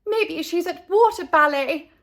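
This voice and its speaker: A woman's voice: very high voice, measured pace, a bit bright, voice pushed up. A woman voicing an anxious, exasperated mother in a children's storybook, calling out emphatically. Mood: worried calling. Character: anxious, exasperated mother searching for her daughter.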